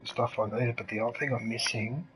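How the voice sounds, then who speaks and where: A man's voice: medium pitch, quick pace, soft background music, rough recording. Rambling man in his fifties. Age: 50s. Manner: chatty, rambling, trailing off mid-sentence. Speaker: gaming channel host. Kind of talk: casual chat while gaming. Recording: steady background noise.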